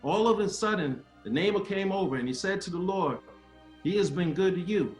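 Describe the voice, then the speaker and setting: A man's voice: high-pitched, fairly quick, close to an everyday voice. An actor playing an earnest baseball great, father and civil rights speaker in a dramatic table read, with oratorical delivery. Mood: solemn oratory. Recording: roomy echo with music behind. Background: music.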